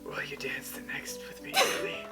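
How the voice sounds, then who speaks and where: A man's voice: normal speed, over loud music, lightly acted. A man voicing a courteous young prince in a romance story, speaking formally and gallantly. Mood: eager.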